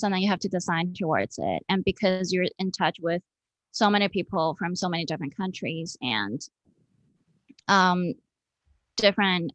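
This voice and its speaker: A woman's voice: fairly low pitch, no room sound, rough recording. A woman from Hong Kong answering as an interview guest in a reflective, informal, conversational way over an online call. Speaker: interview guest, architect and researcher. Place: remote online video call.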